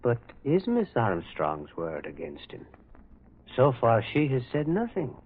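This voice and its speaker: An actor playing a mild, shrewd priest detective who questions gently and hesitantly in an old radio drama. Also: male voice, mid-range voice, wildly swooping pitch, unhurried, breathy edge, heavily acted. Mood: mild, pointed. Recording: muffled, narrow-band old radio recording.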